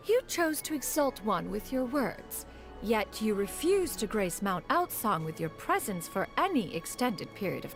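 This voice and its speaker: Pompous ancient immortal actress, music behind. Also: female voice, a little high. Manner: pompous, archaic speech, refers to self as "one". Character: haughty ancient adeptus bird in woman's form, imperious mentor. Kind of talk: scripted video game dialogue.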